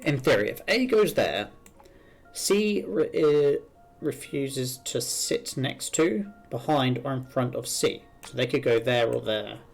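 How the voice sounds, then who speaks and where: A man is streaming a puzzle game with its music playing behind him. He reads the clues aloud and reasons through them step by step. His voice sits at a middling pitch as he gives his running commentary. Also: expressive, measured pace, creaky. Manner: thinks aloud while working through puzzles. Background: video game music.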